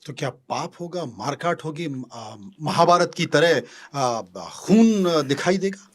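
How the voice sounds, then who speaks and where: A man's voice: fairly high pitch, lively intonation, takes it slowly. A man, working as a news interviewer who puts probing questions to his guest.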